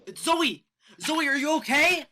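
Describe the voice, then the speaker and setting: A woman's voice: high-pitched, expressive, unhurried, pushed and bright, a little hiss or hum, full character voice. A young woman playing an anxious, earnest teenage best friend in a student stage play, flustered and stammering. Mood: excited. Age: young adult. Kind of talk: student one-act stage acting.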